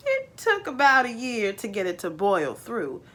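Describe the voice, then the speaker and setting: A woman plays an old farmer father from a folk tale. He brags and soothes in a folksy rural dialect, taking his time, with big swoops in pitch and a bright, strong tone. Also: huge pitch swings, measured pace, full character voice. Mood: boastful, reminiscing.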